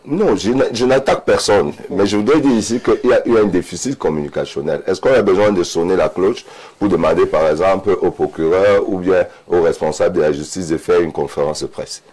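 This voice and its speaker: Deep-voiced man in his fifties. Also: brisk, few pauses, darkish tone. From Cameroon. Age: 50s. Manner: emphatic, repeats words when starting. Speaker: panel guest. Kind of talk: studio debate.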